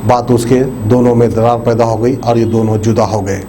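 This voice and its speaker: A man's voice: medium pitch, fairly even intonation, moderate pace, mellow, a little hiss or hum. A man presenting a narrated news tribute to viewers, explaining things conversationally and repeating himself with habitual filler phrases. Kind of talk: narrated obituary tribute report addressed to viewers.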